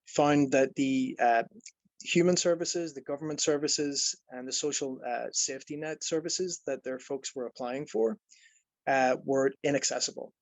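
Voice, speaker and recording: A man's voice: medium pitch, dry recording, low-quality recording. Man giving a webinar talk. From Ireland. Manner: earnest, explanatory, with frequent fillers and restarts. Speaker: nonprofit program director. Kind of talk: webinar presentation.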